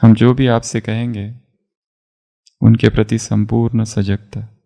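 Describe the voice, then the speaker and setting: Slow, deep-voiced man guiding meditation. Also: vocal fry. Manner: calm, measured instructions with long pauses. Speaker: yoga nidra meditation instructor. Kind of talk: guided relaxation meditation.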